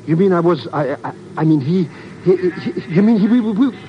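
An actor playing a jittery small-time crook sidekick in a 1950s radio drama, stammering and flustered, on a noisy old broadcast. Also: male voice, high-pitched, lively intonation, brisk, lo-fi sound. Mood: confused.

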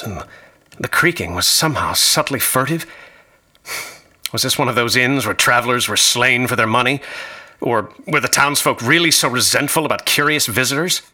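An actor playing an anxious, bookish traveler, narrating his ordeal in a radio drama with formal, uneasy wording and a husky voice. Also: medium pitch, expressive, normal speed, pushed and bright. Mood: tense, fearful. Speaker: narrator and lead character. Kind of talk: scripted first-person radio drama narration.

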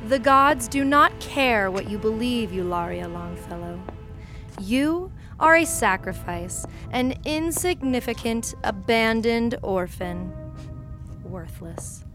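An actress playing a haughty, cruel royal villainess in a sci-fi audio drama, pompous and deliberate. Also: female voice, fairly high pitch, lively intonation, music in the background. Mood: cold contempt.

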